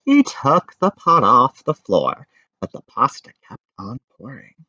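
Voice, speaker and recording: A man giving an animated, expressive read-aloud of a children's picture book, with informal asides. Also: medium pitch, unhurried, dry recording, highs cut off.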